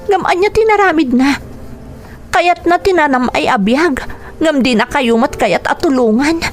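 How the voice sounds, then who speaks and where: A woman's voice: high-pitched, lively intonation, unhurried, soft background music. A woman acting a bitter, resolute young eldest daughter in a Filipino radio drama, emotional and earnest, with a Filipino accent. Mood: bitter, resentful.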